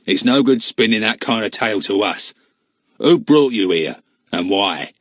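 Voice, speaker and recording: A man voicing a rough, harsh-voiced adult male henchman in a storybook. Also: lowish voice, expressive, measured pace, no room sound. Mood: gruff. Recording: dry close mic.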